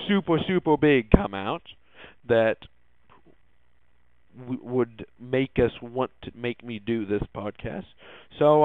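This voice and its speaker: A man hosting a podcast in a casual, hesitant way, often halting and repeating his words. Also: medium pitch, clear, resonant tone, no echo. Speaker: podcast host. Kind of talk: casual solo tech podcast talk. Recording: muffled, phone-like narrow-band audio.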